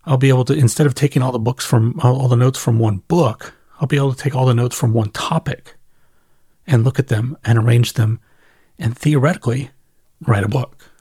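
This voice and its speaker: A man's voice: medium pitch, fairly even intonation, fairly quick, dark tone, slightly rough, no room sound, studio quality. A middle-aged man hosting a solo podcast, talking casually and reflectively as he thinks aloud and corrects himself along the way. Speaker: podcast host.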